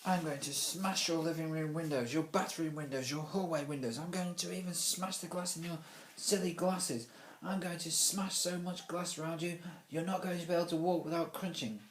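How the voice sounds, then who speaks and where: A man's voice: mid-range voice, fairly even intonation, reverberant, slight background noise, pitched above the performer's own voice, close to an everyday voice. A man voicing a boastful, hot-tempered schoolboy who is a clumsy actor in a storybook. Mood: angry, threatening. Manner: halting when reciting, growling threats when angry.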